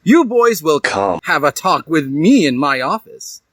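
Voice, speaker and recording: A man voicing a stern old wizard headmaster, shouting commands in a story reading. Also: a little high, sing-song, measured pace, no room sound, studio quality, pitched above the performer's own voice, heavily acted. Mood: exasperated.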